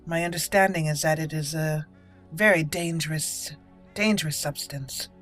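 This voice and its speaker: A woman's voice: sits low, moderate pace, fairly bright tone, faint music behind. A woman hosting a roleplay let's play, chatting casually and playfully as she thinks aloud. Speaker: game roleplay channel host.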